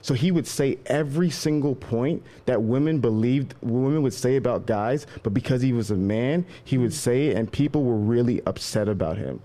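A man's voice: mid-range voice, moderate pace, hardly any pauses, mellow. A man in a casual debate, explaining a point in a loose, rambling way with fillers. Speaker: debate guest.

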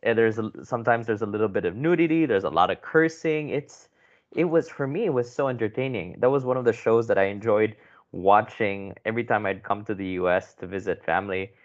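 Man from the Philippines, low voice, chatty interview guest, muffled lo-fi audio. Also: fairly quick. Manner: conversational, frequent restarts and "right?" checks. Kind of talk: podcast interview.

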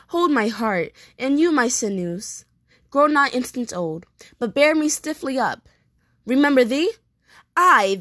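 A woman playing an anguished, vengeful young prince in a declamatory Shakespearean stage monologue with dramatic pauses. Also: high-pitched, fairly bright tone, no echo, studio quality. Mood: anguished, appalled. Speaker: performer rehearsing a soliloquy. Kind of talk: theatrical Shakespearean monologue, repeated takes.

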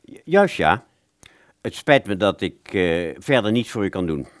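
A man playing a polite, old-fashioned gentleman in a radio play, formal and hesitant, with small stammers. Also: low-pitched, big pitch swings, measured pace, no room sound, clean studio sound. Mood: apologetic, explaining.

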